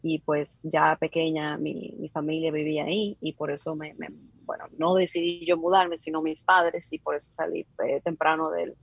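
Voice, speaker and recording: Dominican woman, 30s, podcast guest, hesitant and chatty, low husky voice. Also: level tone, moderate pace, no echo, dull audio. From Dominican Republic. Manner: hesitant, many fillers and restarts. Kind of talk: casual interview.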